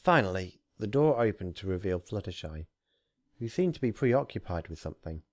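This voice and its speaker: A man with a fairly low voice, recorded dry with little room around it. He hosts story readings, moving between casual talk and narration with deliberate, theatrical phrasing. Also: sing-song, no echo. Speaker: fan fiction reader, channel host.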